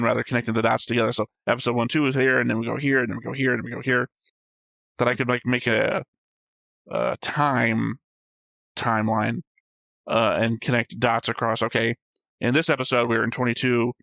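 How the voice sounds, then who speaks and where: A man with a fairly flat, even delivery talks casually, like a show host thinking aloud. He rambles through explanations in an informal way, and the sound is close and dry. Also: medium pitch, creaky, dry recording. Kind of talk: casual chat, discussing an anime episode.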